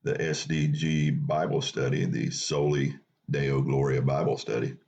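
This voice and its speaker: A man hosting a Bible study, reading scripture aloud in an unhurried, plain way, with a low morning voice. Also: level tone, gravelly, a little mellow, breathy edge, muffled recording. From North Carolina.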